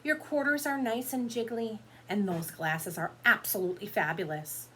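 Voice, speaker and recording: A woman voicing a kindly, upbeat male schoolteacher in a storybook, encouraging and expressive. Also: mid-range voice, moderate pace, a little hiss or hum, natural voice.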